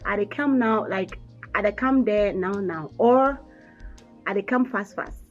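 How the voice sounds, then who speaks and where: A woman in a casual chat, teaching phrases, repeating them slowly and playfully. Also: medium pitch, highs cut off, faint music behind. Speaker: pidgin tutor.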